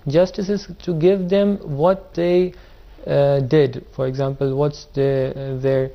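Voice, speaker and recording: A man on a studio panel explaining an idea haltingly, searching for words. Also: mid-range voice, fairly even intonation, highs cut off. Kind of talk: studio discussion.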